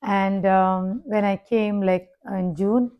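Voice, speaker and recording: A woman from India speaks as a guest on a panel podcast, short and straightforward. Her voice sits at a middle pitch, and the recording sounds a bit dull and muffled. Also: steady tone, takes it slowly. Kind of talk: casual panel discussion.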